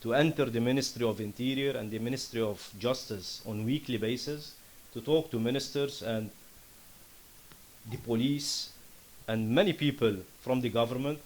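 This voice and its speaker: Earnest Lebanese-accented man pitching. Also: poor audio. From Syria. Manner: earnest, impassioned. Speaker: startup founder presenting. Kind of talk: conference pitch and Q&A.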